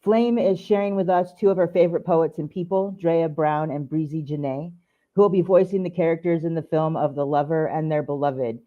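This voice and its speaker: A woman's voice: low voice, steady tone, a little fast. Through a thin, phone-like connection, a woman reads a prepared event introduction. She presents it as a welcome to guests. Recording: thin phone-like narrow band.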